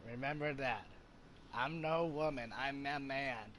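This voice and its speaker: A man's voice: mid-range voice, measured pace, fairly bright tone, slight background noise. A man giving casual commentary as he plays a video game. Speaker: gamer playing and commenting. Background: game music.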